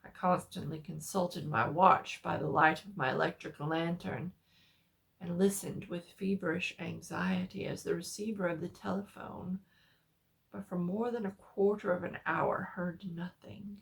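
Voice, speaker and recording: Female narrator, dramatic horror reading, very unhurried, gravelly fry, solemn deliberate delivery. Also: fairly low pitch, fairly even intonation.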